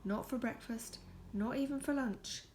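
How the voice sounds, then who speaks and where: A woman reading a picture book aloud in a soft ASMR style, gentle and coaxing, slipping into breathy whispers. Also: a little high, a little slow, a little hiss or hum.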